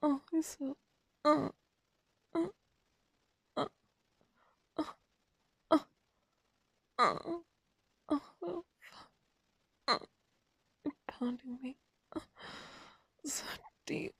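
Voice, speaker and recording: A woman's voice: sits high, fairly even intonation, extremely slow, pauses often, fairly bright tone, no room sound. A woman in an intimate erotic audio roleplay, breathless and aroused, whispering between moans.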